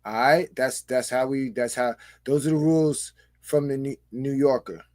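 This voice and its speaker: A man co-hosting a podcast, reading trivia and joking loudly in casual chat. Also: medium pitch, normal speed. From New York. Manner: loud, animated, joking. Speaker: podcast co-host.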